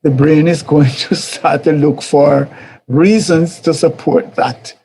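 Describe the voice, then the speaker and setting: Gravelly Jamaican man teaching casually. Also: fairly high pitch, steady tone, slow pace, dark tone, a little hiss or hum. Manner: conversational, repetitive, chuckles, acts out quoted voices. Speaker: teacher of a church life series. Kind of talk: informal teaching talk.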